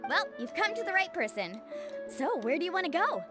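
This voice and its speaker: A woman's voice: very high voice, very animated, brisk, music in the background. A woman playing a friendly young island guide and shopkeeper in a video game, chatty and reassuring. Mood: cheerful, welcoming.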